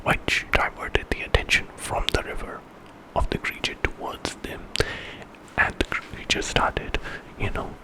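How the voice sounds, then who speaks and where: A man's voice: measured pace, poor audio. A man telling a story in whispered ASMR style, informally, as if making it up with casual asides.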